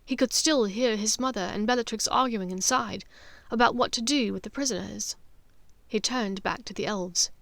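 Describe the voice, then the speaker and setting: A woman narrating a fiction audiobook with dramatic, deliberate storytelling. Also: mid-range voice, creaky, fairly bright tone, no echo.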